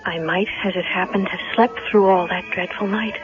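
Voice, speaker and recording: An actress playing a frightened young heiress haunted by dread, in a 1970s radio drama style. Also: female voice, medium pitch, steady tone, normal speed, natural voice. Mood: bitter, reflective. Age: young adult. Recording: dull vintage radio recording with music.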